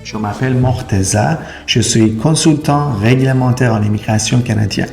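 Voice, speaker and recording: Gravelly-voiced man. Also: medium pitch, normal speed, music in the background. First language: Persian and Turkish. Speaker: immigration consultant. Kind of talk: explainer video to camera.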